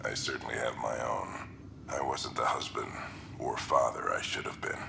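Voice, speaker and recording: An actor playing a weary, gruff middle-aged explorer father, speaking gravely and reflectively into his personal log for a video game. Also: fairly even intonation, normal speed, noisy background, full character voice. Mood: regretful. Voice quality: gravelly, low.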